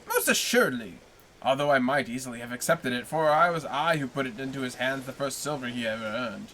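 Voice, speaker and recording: A man reading aloud plays a blustering, envious neighbor from a storybook, all gossip and grudges. His voice comes out bright and edgy as he complains. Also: mid-range voice, lively intonation, brisk, slight background noise, low-quality recording. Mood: indignant.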